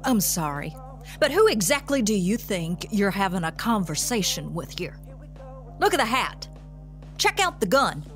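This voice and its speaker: A woman's voice: medium pitch, very animated, moderate pace, faint music behind, close to an everyday voice. A woman playing a sardonic, commanding police chief. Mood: sarcastic, incredulous. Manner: sarcastic, folksy, authoritative. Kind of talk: scripted audio drama acting.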